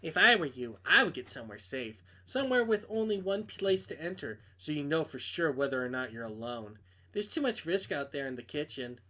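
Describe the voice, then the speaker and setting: A man's voice: a little high, big pitch swings, fairly quick, sharp tone. A man voicing an ominous impostor caller in a horror visual novel, giving coaxing warnings. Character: ominous phone caller posing as a woman friend.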